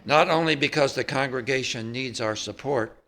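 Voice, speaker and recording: A man reading a prepared text at a church service, with measured, emphatic phrasing. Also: mid-range voice, sharp tone. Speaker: service reader.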